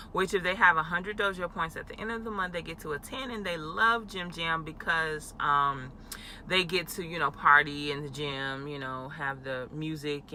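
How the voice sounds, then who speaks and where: A woman's voice: lowish voice, sharp tone, a little hiss or hum. A young adult woman, a teacher, chatting casually in a vlog with frequent fillers and laughs. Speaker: elementary school teacher. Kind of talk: casual talking-head vlog.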